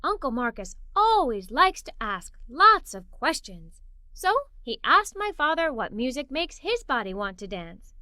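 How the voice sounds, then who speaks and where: Woman, children's read-aloud, animated and swooping, very bright tone, dry close sound. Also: high-pitched, a little slow, no echo. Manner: animated, playful, emphatic. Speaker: children's story narrator. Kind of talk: expressive read-aloud storytelling in a young girl's first person.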